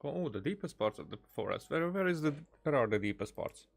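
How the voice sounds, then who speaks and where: A man's voice: on the high side, big pitch swings, a little fast, gravelly, husky. A man doing casual live commentary as a game streamer, reacting aloud and repeating himself.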